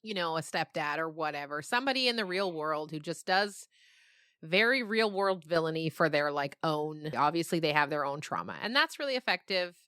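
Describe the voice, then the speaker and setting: A woman's voice: low voice, animated, normal speed, pushed and bright, smooth, no echo, studio quality. A woman chatting and telling anecdotes in a dramatic, emphatic conversational style. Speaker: podcast guest, children's writer. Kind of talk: animated conversational storytelling.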